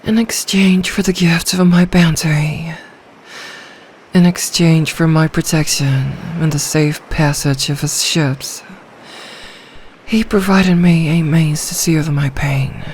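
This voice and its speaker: A woman voicing a lonely, ancient female sea dragon in an intimate ASMR roleplay, soft, regal and possessive, doting with quiet menace. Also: deep voice, fairly even intonation, takes it slowly, slight background noise. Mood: brooding, possessive.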